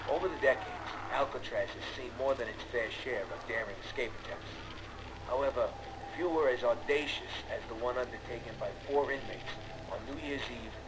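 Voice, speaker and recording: An actor playing a former Alcatraz prison guard, recounting a grim tale in a measured, formal, dramatic way for a game's audio log. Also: male voice, mid-range voice, close to an everyday voice. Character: former Alcatraz prison guard, solemn storyteller of grisly crime. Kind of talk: scripted audio log narration. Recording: very noisy old audio log.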